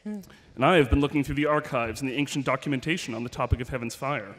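A man reading the part of a solemn old male monk in a measured, formal way. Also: medium pitch, a bit bright, natural voice.

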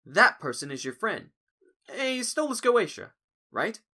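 A man's voice: sits high, big pitch swings, measured pace, sharp tone, heavily acted. A man playing a nervous but clever young human in a cartoon audio drama, hesitant and wry. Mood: confident.

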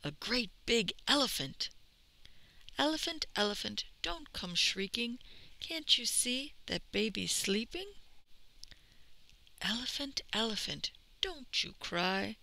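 Woman, middle-aged, teacher, expressive storybook reading, slow pace, heavy vocal fry. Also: a little high. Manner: expressive, addressing young children. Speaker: teacher reading aloud to children. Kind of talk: picture-book read-aloud and talk to pupils.

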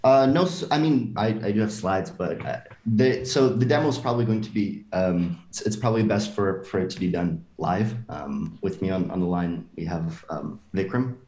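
A man's voice: deep voice, normal speed, hardly any pauses, a little mellow, roomy sound, low-quality recording. A man on a video call panel, talking as a startup CEO, often hesitating with fillers and restarts.

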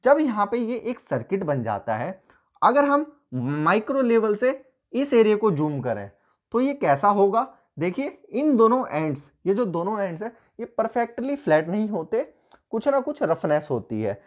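Lively-voiced man teaching at a whiteboard. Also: high voice. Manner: explains step by step, pointing and drawing while talking. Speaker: engineering teacher. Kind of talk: whiteboard lecture. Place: small indoor room at a whiteboard.

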